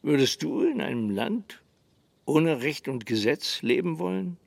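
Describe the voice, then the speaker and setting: An actor playing a middle-aged, world-weary small-town sheriff in a radio drama, laconic and measured. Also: male voice, a little high, very animated, gravelly, a little mellow, no echo, full character voice. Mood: wry, weary. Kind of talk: naturalistic radio drama acting.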